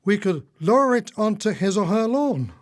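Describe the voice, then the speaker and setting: A man's voice: sits high, sing-song, normal speed. A man playing an earnest, cynical retired husband in a radio play, speaking in a declamatory way. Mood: intrigued.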